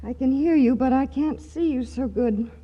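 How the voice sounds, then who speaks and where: An actress playing a weary, sharp young shopgirl in a 1940s radio comedy, wry and quick to retort, hesitant when fibbing. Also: sits high, highs cut off. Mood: tired, flustered. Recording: noisy old 1940s radio broadcast.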